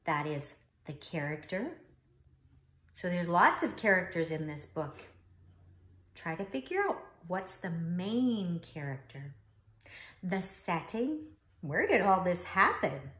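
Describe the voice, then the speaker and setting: A woman reads a picture book aloud in the manner of a teacher. Her pace is slow and her pitch moves up and down in a lively way. She narrates expressively and stops to comment or instruct. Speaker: teacher reading a storybook aloud. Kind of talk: read-aloud children's storytime narration.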